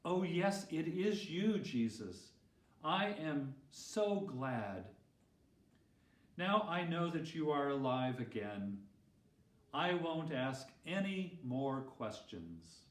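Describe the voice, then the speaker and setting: A man's voice: fairly high pitch, very animated, slow pace. A man voicing an earnest, curious, doubting male disciple in a children's Bible story, with emphatic questioning delivery. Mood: relieved, glad. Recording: roomy echo, distant microphone.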